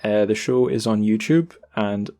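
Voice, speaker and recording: A man's voice: on the low side, measured pace, dark tone. A British man hosting a podcast, talking casually and conversationally with self-aware asides. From United Kingdom. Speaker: podcast host.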